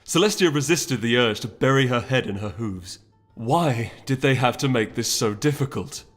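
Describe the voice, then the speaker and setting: A man's voice: medium pitch, lively intonation, moderate pace, a bit bright. A man narrating fantasy fiction from a script, as an audiobook reader. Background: music.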